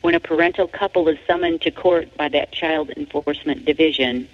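A dull, lo-fi recording carries an American woman's voice as she reads aloud. She is an author narrating prepared text. Her delivery is formal and measured. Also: deep voice, steady tone. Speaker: author reading own book. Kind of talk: scripted narration, read aloud. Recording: dull lo-fi radio-like audio.

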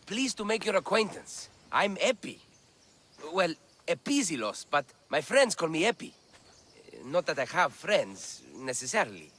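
Eager, animated bookish scholar. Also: male voice, fairly high pitch, unhurried, full character voice. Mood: flustered, friendly. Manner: theatrical, florid, enthusiastic wording. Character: eager, bookish male scholar of antiquities in ancient Greece. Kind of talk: video game character dialogue.

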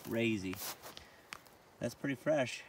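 A man's voice: mid-range voice, slow pace, crowd noise. A man casually narrating an outdoor camping vlog on a handheld camera, often exclaiming in amazement. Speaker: narrator of a family camping trip. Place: outdoors on a forested mountain.

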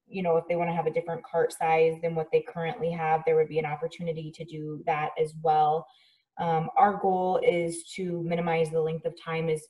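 Distant, even-toned woman on a remote call. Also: deep voice. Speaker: waste agency staff member. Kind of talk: explaining plans in a remote public meeting. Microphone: phone or video call.

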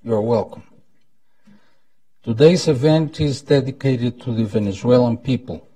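A man's voice: mid-range voice, warm tone, slightly rough, no echo. Old costa rican guy opening a conference, solemn speech, dry sound, bit far from the mic. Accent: Costa Rican Spanish. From Costa Rica. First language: Spanish. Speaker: event host, former ambassador. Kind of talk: formal prepared welcome speech. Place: indoor conference room at a lectern. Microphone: lapel mic.

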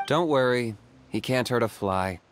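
An actor playing a calm, pragmatic young hunter in a video game, measured and matter-of-fact. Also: male voice, mid-range voice, slight background noise, natural voice. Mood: reassuring. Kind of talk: video game character acting.

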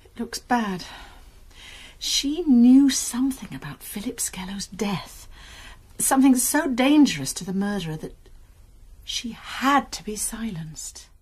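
A gentle, breathy nurse sleuth. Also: female voice, a little high, animated, unhurried. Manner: gentle, questioning, often hushed. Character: kind, probing woman college nurse sleuth. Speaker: college nurse and amateur sleuth. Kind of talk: radio crime drama acting.

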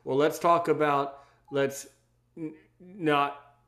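A man's voice: on the high side, fairly even intonation, slow, a bit bright. A man from Maryland hosting a podcast, talking in a rambling, teasing way and often repeating his words. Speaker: podcast host. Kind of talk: casual interview chat.

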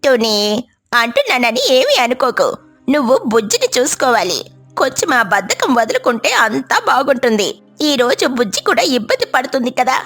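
A woman's voice: sits high, sing-song, fairly quick, a bit bright, faint music behind, heavily acted. A woman voicing a helpful, frank, motherly eagle in a cartoon story, with an advising, conversational manner.

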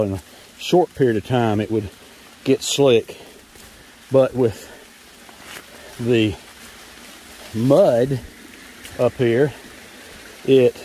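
A man narrating a hike casually in a rambling, wry, halting way, recorded outdoors on a handheld camera. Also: mid-range voice, dark tone. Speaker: hiking vlogger. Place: outdoors on a forest trail in rain.

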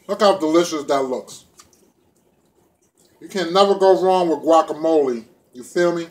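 A man's voice: a little high, normal speed, darkish tone. A middle-aged man vlogging about vegan food, talking to the camera in a laid-back, playful, colloquial way as he eats. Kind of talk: casual chat to camera while eating. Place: small room indoors at home. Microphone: phone or camera propped within arm's reach.

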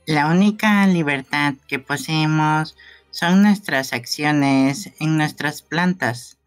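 A theatrical boy gravedigger from a video game, played by a man. He is morbidly courteous and wistful, and takes his words slowly over faint music. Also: on the high side, clean tone. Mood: questioning. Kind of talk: fan game dubbing.

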